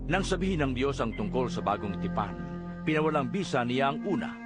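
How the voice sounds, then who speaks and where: A man narrating scripture from a script in a measured, formal way. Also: medium pitch, animated. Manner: measured, formal read delivery. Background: background music.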